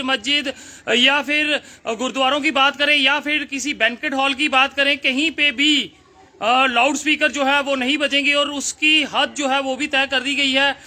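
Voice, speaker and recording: A male news presenter delivers a report straight to viewers. His voice sits extremely high for a man and has a very sharp, forceful edge. He phrases things emphatically, circling back over his key points.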